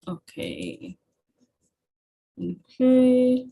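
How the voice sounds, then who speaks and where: A woman's voice: sits high, wildly swooping pitch, drawn-out words, gravelly, dark tone, rough recording. A young Jamaican woman teaching an online chemistry class, chatty and encouraging, often checking in with her students. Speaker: chemistry tutor. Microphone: computer mic on video call.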